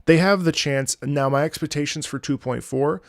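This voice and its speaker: A man with a creaky, gravelly edge to his voice talks through his thoughts on a game in a loose, rambling way. He sounds like an enthusiastic streamer speaking off the cuff. The sound is close and dry, with very little room on it. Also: fairly high pitch, normal speed, no echo. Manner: rambling, thinking aloud. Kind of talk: casual off-the-cuff game commentary.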